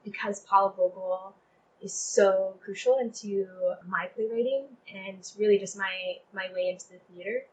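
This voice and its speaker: Young woman, mid-pitched voice, effusive and emphatic, casual interview chat, faint room noise behind. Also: steady tone, normal speed, a little mellow, poor audio. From Texas, United States. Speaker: playwright guest.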